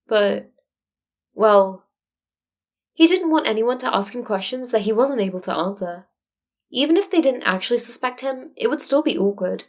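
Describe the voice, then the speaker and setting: A woman's voice: on the high side, expressive, moderate pace, long pauses. A woman reading scripted fan fiction as an audiobook narrator.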